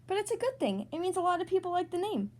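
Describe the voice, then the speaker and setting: A kindly, playful father voiced by a woman reader in a children's story. He reassures gently in a high, very lilting voice. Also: big pitch swings, quick pace. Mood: reassuring.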